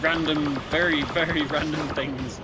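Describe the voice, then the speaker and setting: A man with a fairly high voice co-commentates on a game. He explains things casually in a halting, chatty way. Game music plays clearly behind his voice. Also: steady tone. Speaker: co-commentator at a speedrun event. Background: video game music.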